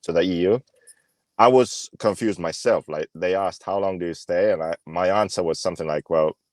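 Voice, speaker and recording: A man's voice: sits low, normal speed, a little mellow, no echo. A man from Finland co-hosting a casual podcast, laid-back and a bit hesitant, often chuckling. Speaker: podcast co-host. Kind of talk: casual chat.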